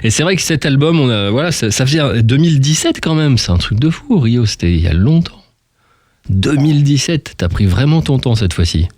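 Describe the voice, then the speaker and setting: A man hosts a radio interview with an informal, teasing patter. His voice sits at a middling pitch and is captured very dry. He chats casually and banters with his guest. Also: expressive, brisk, a little mellow, dry recording.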